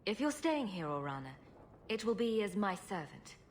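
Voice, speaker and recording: Woman playing a composed, mid-pitched game heroine. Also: sing-song, normal speed, a little hiss or hum, natural voice. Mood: kind, firm. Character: composed, compassionate adventurer heroine, mistress of the house.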